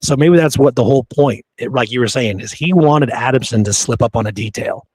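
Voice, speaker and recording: A man's voice: medium pitch, brisk, darkish tone, slightly rough, no room sound. A man hosting a podcast, talking through history in an informal, conversational way. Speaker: podcast host. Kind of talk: casual history discussion.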